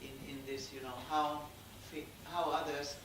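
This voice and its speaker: A Finnish audience member comments in English with a Finnish accent, halting and repeating words. The voice is picked up from a distance. A strongly reverberant hall with clear background noise surrounds it. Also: medium pitch, little pitch movement. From Finland. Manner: halting, many fillers and repeats. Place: cinema hall. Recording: echoey hall from far off with noise.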